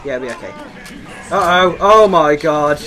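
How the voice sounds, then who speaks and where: A young man giving excitable, exclaiming commentary while playing a co-op game. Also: fairly high pitch, unhurried. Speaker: gaming video player.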